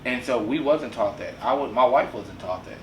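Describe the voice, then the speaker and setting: A man's voice: medium pitch, animated, normal speed, fairly bright tone, reverberant, far from the mic, a little hiss or hum, poor audio. A man in his forties hosting a casual podcast discussion, talking earnestly and preachily and often asking "you know what I'm saying?". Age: 40s. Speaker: podcast host.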